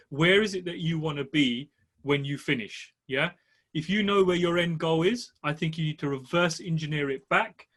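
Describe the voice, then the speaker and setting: A man's voice: a little high, fairly bright tone. A man in his thirties co-hosting a podcast, giving blunt motivational advice in casual chat with the odd swear word. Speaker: podcast co-host. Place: studio room with a notepad.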